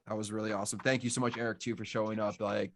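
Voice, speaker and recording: A man's voice: fairly low pitch, flat delivery, racing, a little hiss or hum. A man at a table read chatting casually, effusive and appreciative with the others. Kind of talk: casual chat.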